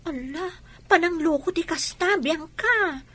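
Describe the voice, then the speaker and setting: A woman's voice: extremely high pitch, a little slow, sharp tone, dry recording, exaggerated voice. A woman with a Filipino accent playing a playful, polite young student who frets over her friend in a radio drama. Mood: teasing, wary. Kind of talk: Ilocano radio drama acting.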